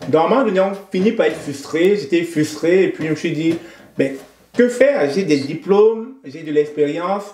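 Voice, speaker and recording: A Cameroonian man, a guest in an interview, talking in a measured, thoughtful way and often pausing to find his words. His voice sits a little high. The room gives his voice a noticeable echo. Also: animated, darkish tone. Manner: thoughtful, measured, with frequent hesitations. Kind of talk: conversational interview.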